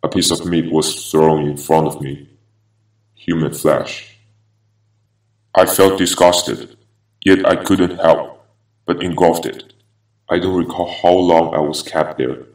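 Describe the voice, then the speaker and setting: A man voicing a vengeful half-machine former attorney in a grave, deliberate, ominous horror game monologue. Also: sits low, steady tone, darkish tone. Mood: bitter, brooding. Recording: echoey, distant-sounding voice.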